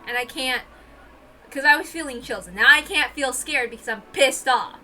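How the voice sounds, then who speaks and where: Very high, bright-voiced woman reading aloud. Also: moderate pace, a little hiss or hum. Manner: expressive, informal. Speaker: reader of a visual novel. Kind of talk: dramatic story reading with casual asides.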